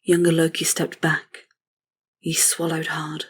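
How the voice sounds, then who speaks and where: Casting: female narrator for fantasy audio drama; lowish, breathy, restrained and even delivery. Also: unhurried. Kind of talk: scripted dramatic story narration.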